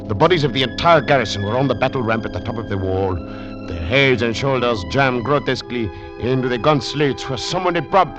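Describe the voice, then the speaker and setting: A man's voice: lowish voice, lightly acted. An actor playing a grave, shaken French Foreign Legion commandant telling his story in dramatic 1940s radio style. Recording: old radio recording, dull, with music.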